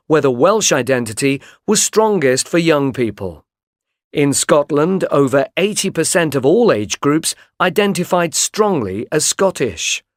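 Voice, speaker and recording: A man reads a course text in a steady, informative way. His voice sits a little high for a man. The studio sound is close and dry. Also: no room sound. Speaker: narrator of a course recording. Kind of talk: scripted narration.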